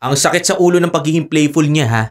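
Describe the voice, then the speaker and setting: A man's voice: on the high side, fast, lightly acted. A man acting in a radio drama as an irritable boyfriend, grumbling in exasperation. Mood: grumbling, exasperated. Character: irritable live-in boyfriend who resents the dog.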